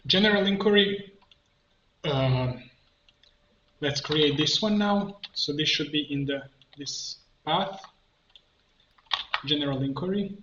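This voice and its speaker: A man giving an unscripted software tutorial, haltingly narrating each step as he works and thinking aloud. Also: a little high. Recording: muffled lo-fi, roomy echo, background noise.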